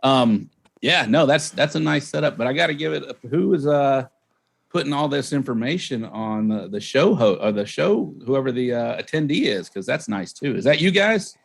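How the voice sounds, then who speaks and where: A man from Texas speaking as an interview guest, telling stories casually in a halting, folksy way. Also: mid-range voice, lively intonation, creaky, breathy edge. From Texas, United States. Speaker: guest, barbecue team owner and show host. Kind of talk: casual interview storytelling over a live remote call.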